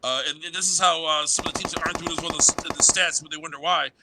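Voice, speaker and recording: A man's voice: fairly high pitch, steady tone, fast. A man working as an esports analyst, breaking down team play in a live, coaching tone. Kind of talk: live game commentary. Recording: game gunfire and engine noise under voice.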